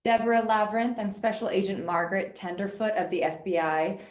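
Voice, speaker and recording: A woman playing a no-nonsense FBI special agent in her thirties, official and deadpan, on a roomy video call. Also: medium pitch, fairly quick, lightly acted. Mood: stern, deadpan. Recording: echoey room on video call.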